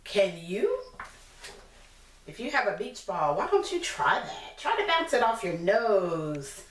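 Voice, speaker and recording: An American woman in her thirties reading a picture book aloud to young children as a teacher, encouraging them and asking simple questions. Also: fairly high pitch. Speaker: preschool teacher. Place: small indoor room against a plain decorated wall. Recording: roomy echo, distant mic, clear background noise.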